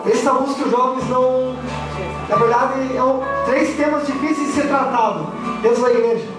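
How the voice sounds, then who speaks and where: A hoarse man with a Brazilian accent who has a cold, preaching to young people at church in a casual, slangy way. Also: very high-pitched, fairly even intonation, normal speed, over music. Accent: Brazilian Portuguese. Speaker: youth preacher. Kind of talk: youth sermon. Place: church hall. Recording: echoey church from far off.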